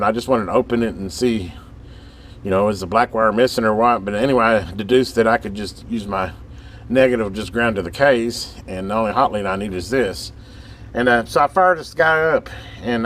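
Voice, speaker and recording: Rambling man over clear background radio noise. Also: fairly low pitch, fairly bright tone. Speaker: hobbyist video host showing a vintage radio. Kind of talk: casual unscripted show-and-tell. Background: CB radio hiss and chatter.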